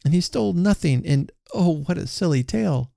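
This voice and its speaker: A man's voice: fairly high pitch, lively intonation, moderate pace, gravelly, mellow, no echo, studio quality, pitched above the performer's own voice. A man plays a storybook mother in a voice lifted well above his own. She is incredulous but affectionate, full of exclamations and questions, over a bed of music. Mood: fond disbelief. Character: calm mother, startled and incredulous, affectionate with her children.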